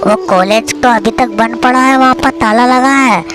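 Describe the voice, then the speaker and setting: A woman's voice: fairly high pitch, fairly even intonation, moderate pace, close to an everyday voice. A woman voicing a flustered, scheming middle-aged village father in debt, in a cartoon story. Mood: scolding, worried. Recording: music behind the voice.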